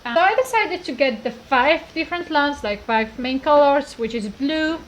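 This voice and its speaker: Polish girl rambling about her card game, kinda high voice, bit of hiss in the background. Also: lively intonation, moderate pace. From Poland. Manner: chatty, rambling, full of fillers. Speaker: gaming YouTuber. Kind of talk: casual chat to camera.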